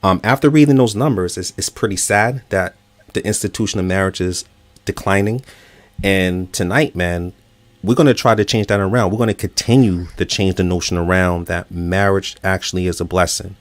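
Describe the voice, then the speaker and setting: A man's voice: low voice, normal speed, no echo. A man hosting a relationship talk show, earnest and encouraging, using filler words as he talks.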